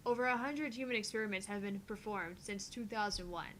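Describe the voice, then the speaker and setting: A woman's voice: medium pitch, a little fast, fairly bright tone, a little hiss or hum, lightly acted, rough recording. Woman acting a cool, precise young scientist. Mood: analytical. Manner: precise, matter-of-fact explaining. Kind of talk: visual novel voice acting.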